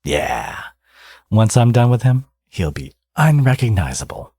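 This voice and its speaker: A man playing a smug, flirtatious villain in an intimate ASMR audio roleplay, teasing and coaxing, with a gravelly, husky voice. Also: on the low side, sing-song, a little slow, mellow, no echo, exaggerated voice. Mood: playful, doting.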